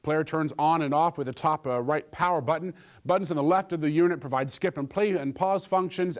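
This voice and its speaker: A man presenting a scripted gadget review, with a joking streak in his delivery. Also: on the high side.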